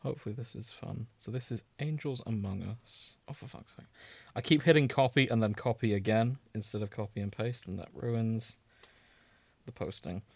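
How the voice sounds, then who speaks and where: A man with a fairly low voice hosts a podcast. He talks casually and jokily, as if among friends. The sound is close and dry, with very little room on it. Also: moderate pace, vocal fry, no room sound. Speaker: podcast host. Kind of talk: casual comedic chat.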